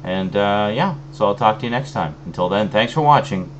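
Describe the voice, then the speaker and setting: A man with a very low voice talks loosely through an unboxing, full of ums and trailing restarts. He sounds a little phlegmy from an oncoming cold. A faint hum sits under the recording. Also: brisk, muffled recording. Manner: relaxed, rambling, many fillers. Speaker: video host. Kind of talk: casual unboxing chat.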